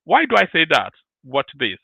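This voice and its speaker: A male host delivers opinionated commentary in an emphatic, rhetorical way, circling back to the same phrases. His voice sits a little high and swings widely in pitch. The sound is dry and close, with no room echo. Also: huge pitch swings, normal speed, creaky, pushed and bright, slightly rough, muffled recording.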